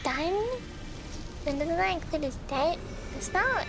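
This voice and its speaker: A woman's voice: extremely high pitch, expressive, unhurried. A sleepy woman livestreamer reacting to a game and chatting casually with viewers in a playful, teasing manner. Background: game music.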